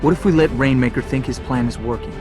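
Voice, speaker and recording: An actor playing a determined young fighter pilot hero in a video game, earnest and resolute, recorded dry over music. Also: male voice, mid-range voice, fast talker, natural voice. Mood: urgent, persuasive. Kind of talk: video game cutscene acting and dramatic monologue.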